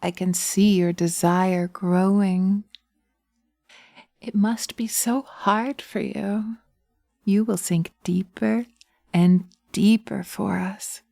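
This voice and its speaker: A woman playing seductive, taunting witches in a slow hypnosis roleplay, speaking in a hushed, dominant manner close to the microphone. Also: medium pitch, fairly even intonation, vocal fry, dry recording. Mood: sultry, hypnotic. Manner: sultry, slow, hushed, often dropping to a whisper. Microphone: close binaural microphone.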